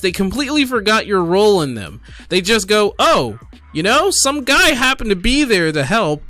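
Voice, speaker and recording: Male gaming streamer, bright forceful tone, very lively pitch, casual exasperated commentary. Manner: exasperated, incredulous, emphatic with repetition. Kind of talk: casual commentary reading forum posts aloud.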